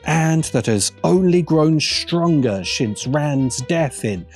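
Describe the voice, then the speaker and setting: A man narrating a scripted video essay as its host, with sardonic, emphatic and deliberate phrasing. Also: on the high side, lively intonation, a little mellow. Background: faint background music.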